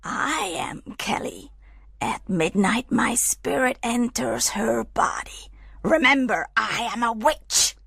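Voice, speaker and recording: A menacing witch ghost for a dramatised storybook. The voice is husky and creaky, swinging widely between breathy hiss and sharper tones, with slow, theatrical, gloating evil. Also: on the high side, animated, a bit bright, no echo, studio quality, exaggerated voice. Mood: menacing whisper.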